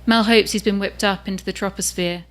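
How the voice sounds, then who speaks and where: A woman, reading the opening of her own novel aloud in an unhurried way. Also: medium pitch, steady tone, fairly bright tone. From England. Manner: measured and unhurried.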